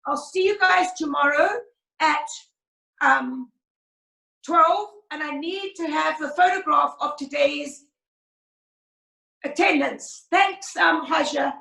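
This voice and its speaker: A woman maths teacher, teaching a class over a video call in a brisk, encouraging way, her voice croaky. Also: high-pitched, bright, forceful tone. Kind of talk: online class lesson. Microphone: laptop video call. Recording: roomy far-off video call audio.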